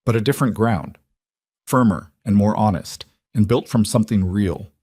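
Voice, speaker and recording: A man reading a dramatic western story as scripted narration, measured and deliberate in his delivery. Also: on the low side, a little mellow, breathy edge, dry recording, studio quality. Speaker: audiobook-style story narrator.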